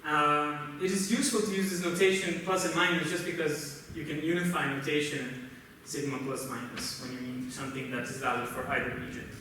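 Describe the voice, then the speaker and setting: A man with a Brazilian accent, a native Portuguese speaker, gives a technical conference lecture in a halting, explanatory way. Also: a little high, steady tone, sharp tone. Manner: halting, explanatory, often checks with "right?". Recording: roomy, noisy conference hall, distant mic.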